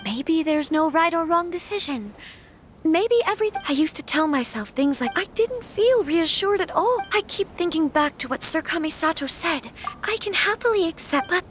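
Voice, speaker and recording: An actress playing a gentle, earnest young noblewoman in a video game, polite. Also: very high voice, lively intonation, moderate pace, slight background noise. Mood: troubled, reflective.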